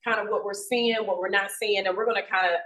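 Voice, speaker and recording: A woman's voice: mid-range voice, steady tone, fast, a bit bright, distant mic, a little hiss or hum. A woman police commander addressing a community meeting without a microphone, chatty and informal, with many fillers and restarts. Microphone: no microphone, from across the room.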